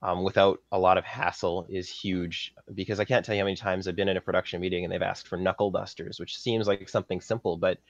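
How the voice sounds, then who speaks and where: A man's voice: low-pitched, level tone, quick pace, hardly any pauses. A man from Saskatchewan with a Canadian accent, chatting casually as a guest in a podcast interview. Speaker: guest, film props master. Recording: dull lo-fi audio, slightly roomy.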